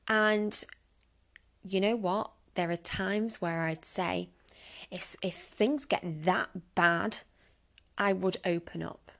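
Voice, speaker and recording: A woman's voice: medium pitch, creaky. A woman of about thirty giving informal advice on her own as a podcast host, candid and chatty, often posing rhetorical questions. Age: around 30. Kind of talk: informal solo advice talk.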